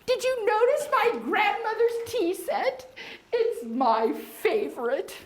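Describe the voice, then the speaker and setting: A woman voicing a genteel, kindly female beaver who hosts a tea party and teaches young viewers, with a fluttery, sing-song manner full of soft "oh"s and "well"s. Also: extremely high pitch, lively intonation, slow, full character voice. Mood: gracious. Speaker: puppet show hostess and teacher. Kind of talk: children's educational puppet show. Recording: echoey room with faint noise.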